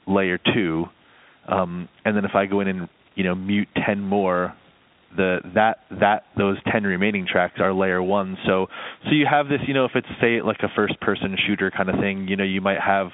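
A man talking casually as an interview guest, explaining his composing work in a meandering, conversational way. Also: deep voice. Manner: explanatory, meandering, with frequent "you know". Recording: muffled narrowband phone-like line.

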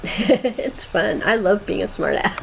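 A woman's voice: medium pitch, animated, measured pace, gravelly. A woman hosting a casual, chatty group interview as the playwright and director. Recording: tinny phone-like call with hiss.

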